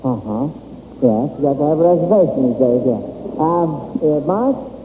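A man hosting an old-time radio quiz show from a stage, talking in a halting, folksy way. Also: fairly high pitch, expressive, dark tone, highs cut off. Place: stage in an auditorium. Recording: echoey hall, thin old radio recording, hiss.

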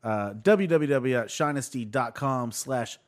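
A man hosting a casual podcast, excitedly retelling TV scenes to his friends. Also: medium pitch, measured pace. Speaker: podcast host. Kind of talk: casual chat recapping a show.

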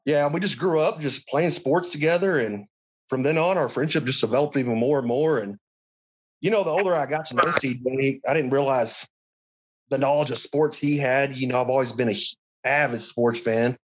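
A man guesting on a podcast, talking in a relaxed, laid-back casual way. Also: medium pitch, moderate pace, husky, low-quality recording. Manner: relaxed, laid-back with fillers like "you know". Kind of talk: casual chat.

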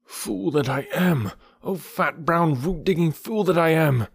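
A fretful old bear as a man reads him, his voice climbing high and loud as he frets and wails. The dry, close sound sits right at the ear. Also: gravelly, slightly rough, no echo, full character voice. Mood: urgent. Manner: loud, high and wailing, drawn-out cries. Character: anxious fussing old male bear, wailing and lamenting.

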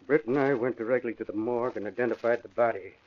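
A man's voice: medium pitch, fast talker, close to an everyday voice. Actor as an earnest, worried older father. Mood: grave, troubled. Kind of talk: 1940s radio drama acting. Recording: dull vintage radio recording.

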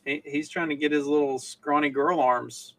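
A man's voice: fairly high pitch, fairly even intonation, normal speed, fairly bright tone. A man co-hosting a podcast, talking through the news casually and wryly, often repeating phrases for emphasis. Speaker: podcast co-host.

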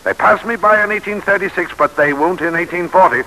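A man's voice: high-pitched, fast, pushed and bright, rough recording. An actor playing a proud, jovial, ambitious statesman in an expansive, oratorical 1940s radio drama style, on a hissy old recording. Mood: defiant, confident.

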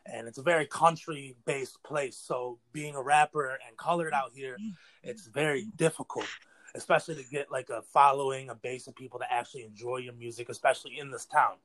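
A man's voice: mid-range voice, steady tone, fairly quick, a bit bright, poor audio. Teenage American male, mid-range voice, earnest and rambling, street slang, casual podcast guest. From New York State, United States. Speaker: interview guest, battle rapper. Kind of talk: casual slangy interview talk.

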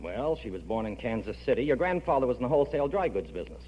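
A man's voice: medium pitch, big pitch swings, very fast. An actor playing an exasperated, sarcastic middle-aged father in a 1940s radio comedy, dry and weary. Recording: muffled, hissy, humming old radio broadcast.

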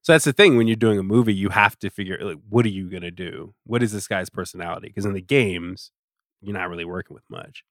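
A man speaking as a podcast guest in a casual pop-culture discussion, halting and often interrupting himself. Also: low-pitched, no room sound, studio quality. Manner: halting, self-interrupting, conversational.

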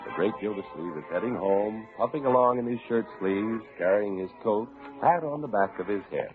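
A man's voice: on the low side, expressive, moderate pace, faint music behind. An American man announcing a mid-century radio show and reading its scripted advertisement. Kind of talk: 1940s-50s radio announcing, scripted ad read. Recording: vintage radio, narrow-band, noisy.

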